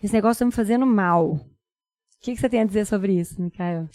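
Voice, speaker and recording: A woman hosting a podcast, talking in a brisk, chatty way and often checking in with her listener. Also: mid-range voice, mellow, dry recording. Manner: brisk, chatty, tags questions with "né?". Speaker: podcast host, doctor. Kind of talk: conversational podcast talk.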